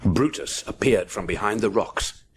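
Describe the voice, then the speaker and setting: Deep, theatrical male storybook narrator. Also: animated, measured pace, a little hiss or hum. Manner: punchy, theatrical sound-effect words. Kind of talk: dramatic children's story narration.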